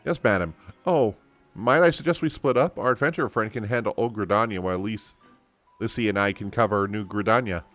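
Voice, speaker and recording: A man's voice: lowish voice, fairly quick, fairly bright tone, soft background music, natural voice. A man voicing an earnest, eager young noblewoman from a fantasy game in a formal, theatrical way. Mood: polite, eager.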